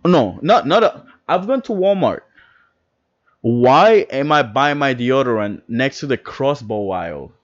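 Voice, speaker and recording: Excitable, rambling young podcast man. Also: mid-range voice, unhurried, no echo, lo-fi sound. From Dominican Republic. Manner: rambling, excitable, swears freely. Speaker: podcast co-host. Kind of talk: casual podcast chat.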